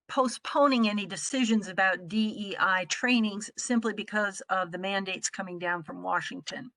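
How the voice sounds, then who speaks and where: A middle-aged American woman from the Midwest giving an explanatory presentation as a trainer, speaking in a measured, articulate way. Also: mid-range voice, sharp tone, no echo, rough recording. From Midwestern United States. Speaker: trainer presenting on a conference call.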